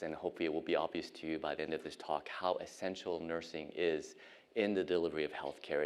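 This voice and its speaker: Middle-aged man, deep voice, unhurried academic speaker from Ohio. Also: clean tone. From United States (Ohio). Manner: measured, frequent ums and uhs. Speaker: guest lecturer, physician and professor. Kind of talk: academic lecture.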